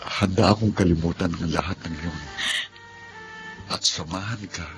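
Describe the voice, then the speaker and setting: A man acts a devoted young Filipino suitor in a radio drama. His very low voice is gentle and hesitant, ready to forgive. Soft music plays behind him. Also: normal speed, close to an everyday voice. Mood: tender, pleading. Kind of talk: Tagalog radio drama acting.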